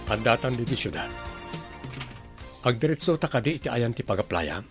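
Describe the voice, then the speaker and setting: A man's voice: medium pitch, expressive, normal speed, loud music behind the voice, lightly acted. An actor with a Filipino accent playing a practical male partner in an Ilocano radio drama. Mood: matter-of-fact.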